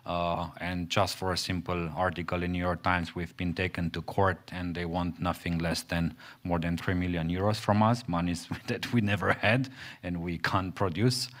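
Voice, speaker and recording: A man from Romania, giving halting panel remarks as an activist at a conference, with many pauses and restarts. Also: low-pitched, flat delivery, a bit bright. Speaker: panel speaker, forest activist. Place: conference hall.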